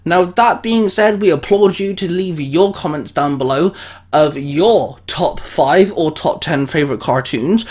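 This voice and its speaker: A man's voice: a little high, unhurried, a little mellow. A man hosting a YouTube show, chatting casually about geeky favourites. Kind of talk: casual chat about cartoons.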